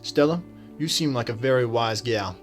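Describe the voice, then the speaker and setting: An actor playing a shrewd, polite detective in a radio drama, with folksy, measured questioning. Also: male voice, mid-range voice, soft background music, natural voice. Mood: courteous, probing. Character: courteous, shrewd small-town detective, adult man.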